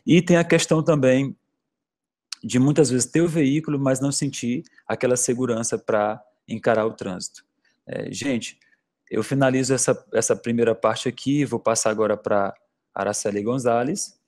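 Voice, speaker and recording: A man at an average pitch walks through driving-instruction advice in a plain, explanatory way. He often fills his pauses with "é" and "né" while hosting the webinar. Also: steady tone, a little mellow. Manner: explanatory, with fillers like "é" and "né". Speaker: traffic-safety webinar host. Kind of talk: instructional talk.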